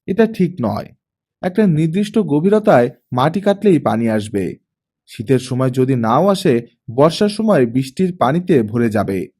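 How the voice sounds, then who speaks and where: A man voicing a measured, matter-of-fact, skeptical father in an audiobook story. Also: mid-range voice, big pitch swings, a little mellow, husky. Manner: measured, pedantic, dismissive. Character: skeptical, matter-of-fact father, a pipe-smoking older gentleman. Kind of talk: audiobook character reading.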